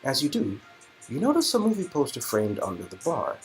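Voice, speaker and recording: A man's voice: medium pitch, wildly swooping pitch, brisk, soft background music. A man reading out narration from an interactive romance game, with joking asides to his co-reader.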